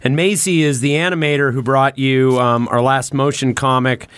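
A male podcast host talks in a loose, rambling way at a mid-range pitch. His speech is slangy and sweary, like chat among friends. The sound is dry, with very little room in it. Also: steady tone, normal speed, a bit bright, clean tone, dry recording. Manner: rambling, profane, informal slang such as dude and man. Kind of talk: casual podcast conversation.

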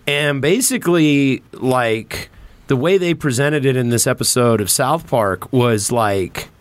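A man's voice: mid-range voice, animated, a little slow, no echo. A man hosts a loose, informal podcast in a mid-range voice. He talks in a bantering, sweary way with plenty of filler "like". The sound is dry, with very little room around him. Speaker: podcast host. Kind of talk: casual podcast chat.

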